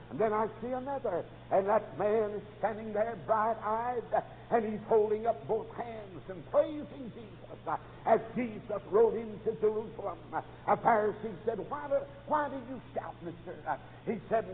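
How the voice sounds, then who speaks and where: A dull, lo-fi recording carries a man preaching with long hall reverb. He delivers a fervent, exclamatory sermon in a high voice, breaking his phrases with a repeated "uh.". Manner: punctuates nearly every phrase with "uh". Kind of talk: fervent camp meeting revival sermon with acted dialogue. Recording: dull lo-fi tape in reverberant hall, faint noise.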